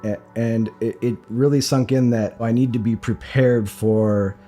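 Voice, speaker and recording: A man's voice: mid-range voice, steady tone, unhurried, mellow, soft background music. A man in his forties from Minnesota speaking plainly and candidly in a documentary interview. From Minnesota, United States.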